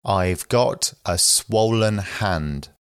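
Slow deep-voiced man reading phrases. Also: clean tone, dry recording, studio quality. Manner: slow, careful, even delivery. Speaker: English phrase reader.

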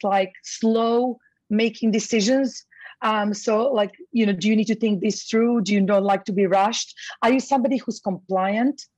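A woman's voice: mid-range voice, a little mellow, slightly rough, no echo, muffled recording. A woman from Croatia, a guest expert, talking through her ideas in a casual interview, with lots of "you know" and "um". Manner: thoughtful, many fillers like "you know".

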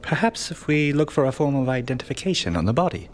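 An actor playing an earnest, admiring sidekick to a detective in a comic murder-mystery audio drama, speaking formally and a little hesitantly. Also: male voice, mid-range voice, big pitch swings, fast, close to an everyday voice. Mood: earnest, tentative. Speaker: sidekick and narrator.

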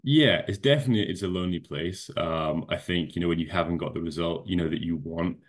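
A man's voice: low voice, fast talker. A man from Scotland, a guest on a podcast, talking casually about competing and leaning heavily on "you know" and restarts. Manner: rambling, many fillers like "you know". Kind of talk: casual conversational interview.

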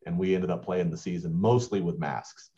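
A man's voice: sits low, normal speed, mellow. A man, a school basketball coach, answering interview questions in a rambling way with many restarts. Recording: dull lo-fi audio.

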